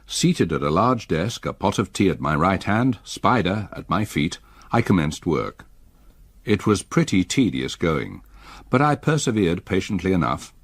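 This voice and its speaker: An actor playing a reflective English gentleman, narrating his memories in measured, literary style for a gothic radio drama. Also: low voice, slightly rough, no echo, clean studio sound, full character voice.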